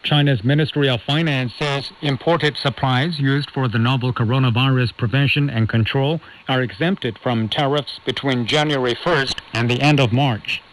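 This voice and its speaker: Through lightly noisy shortwave reception, a male news anchor reads a scripted bulletin. His voice is mid-pitched, and his delivery is formal and measured. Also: fairly even intonation.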